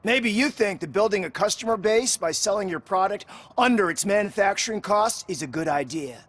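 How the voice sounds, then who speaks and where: Game role: no-nonsense streetwise guy, high voice, insistent delivery, close dry sound. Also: animated, fairly quick, fairly bright tone, dry recording. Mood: insistent, annoyed.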